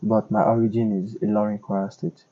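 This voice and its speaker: A man's voice: fairly low pitch, level tone, brisk, mellow. A man from Lagos with a Nigerian accent, answering questions in a casual street interview, frank and explanatory, often repeating himself for emphasis. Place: outdoors on a street. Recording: dull lo-fi audio.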